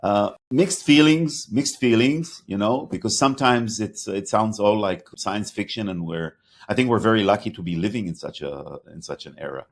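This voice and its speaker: A man with a slight Hebrew accent hosting an interview podcast, talking in a halting way with many fillers and restarts. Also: lowish voice. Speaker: podcast host. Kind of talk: interview conversation.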